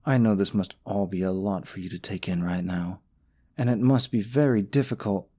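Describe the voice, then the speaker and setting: A man's voice: sits low, normal speed, mellow, slightly rough. A young man acting in an audio roleplay as a scheming, possessive boyfriend, falsely sweet and coaxing, snide about his rival. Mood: coaxing, menacing.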